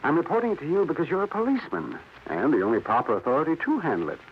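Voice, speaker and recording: A chatty, over-polite American dentist in a vintage radio crime play. He is nervously affable and hesitant, with a highly animated pitch. The old recording is muffled, with faint noise underneath. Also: racing. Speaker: radio drama actor.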